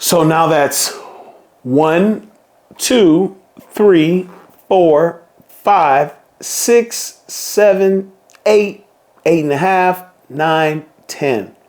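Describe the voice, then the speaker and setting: A man reading a children's picture book aloud in a slow, playful storybook manner. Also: a little high, darkish tone.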